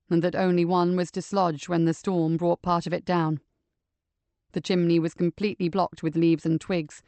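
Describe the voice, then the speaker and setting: A woman voicing a steady male police detective, speaking low, gentle and measured in an audiobook. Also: no room sound, natural voice. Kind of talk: audiobook character voice.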